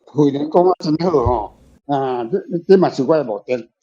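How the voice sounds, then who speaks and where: Older male author guest, mellow and husky, halting informal talk, slightly dull recording. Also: medium pitch, expressive. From Taiwan. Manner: repetitive, halting phrasing. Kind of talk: informal discussion. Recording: slightly muffled, faint guitar music behind. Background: faint guitar music.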